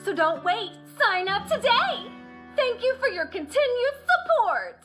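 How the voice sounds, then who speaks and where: A woman's voice: very high-pitched, big pitch swings, measured pace, fairly bright tone, faint music behind, exaggerated voice. An actress in an anime dub playing a chirpy young female game announcer with a bubbly, polished promotional delivery. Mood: cheerful.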